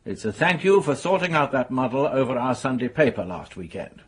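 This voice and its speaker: An actor playing a sober police major in a radio mystery, speaking in a measured, serious way with a slightly husky voice. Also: male voice, medium pitch, sing-song. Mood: matter-of-fact. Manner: measured, serious, matter-of-fact. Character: sober, businesslike male police major briefing colleagues. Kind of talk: radio mystery drama dialogue.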